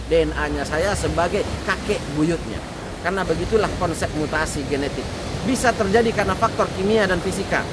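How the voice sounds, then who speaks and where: A man preaching a sermon to a congregation. He speaks earnestly, rising louder and higher on key words and then dropping low. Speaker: Islamic preacher. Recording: loud steady background noise.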